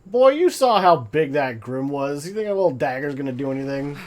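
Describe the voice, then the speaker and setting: Man reacting casually, with animated pitch. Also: a little fast. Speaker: reaction video host. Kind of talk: casual off-the-cuff reaction commentary.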